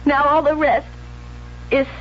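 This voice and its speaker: A woman's voice: sits high, little pitch movement, measured pace, slight background noise. An actress playing a jealous, unstable young woman in a 1970s radio drama, intense and pleading, on a dull vintage recording. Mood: desolate, resolved. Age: young adult. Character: jealous, obsessive, unstable young bride-to-be turned killer.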